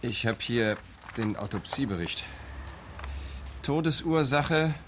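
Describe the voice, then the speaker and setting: An actor playing a composed, matter-of-fact police captain in a radio crime drama, giving an orderly briefing. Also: male voice, medium pitch, lively intonation, takes it slowly, a bit bright, a little hiss or hum. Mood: factual briefing.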